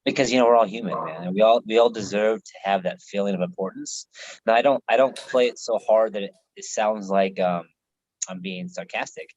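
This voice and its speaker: A man's voice: fairly low pitch, brisk, darkish tone, lo-fi sound. An American man chatting casually on a live video call, laid-back, laughing often and swearing freely. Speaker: host.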